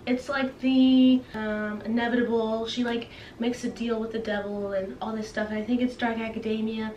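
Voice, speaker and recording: A woman's voice: a little high, lo-fi sound. A young woman chatting casually to camera as a book vlogger, playfully dramatic and self-deprecating. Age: young adult. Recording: roomy, distant mic with faint hiss.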